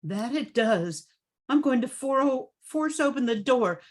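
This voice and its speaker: A woman's voice: high voice, animated, slow, noisy background. A woman playing a practical, bossy but reassuring literary agent in a radio drama. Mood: concerned. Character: brisk, practical female literary agent and boss.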